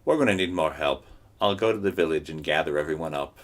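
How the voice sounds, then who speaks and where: A man voicing a calm, patient older male wolf in a story, speaking in a steady, resigned and kindly way. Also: a bass voice, quick pace.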